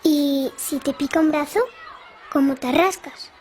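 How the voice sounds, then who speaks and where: Bubbly, very high-voiced Castilian little girl. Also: measured pace, slight background noise. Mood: curious, friendly. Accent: Castilian Spanish. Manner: playful, bubbly, talking in eager questions. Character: chatty, imaginative, kind-hearted little schoolgirl. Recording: loud music behind the voice.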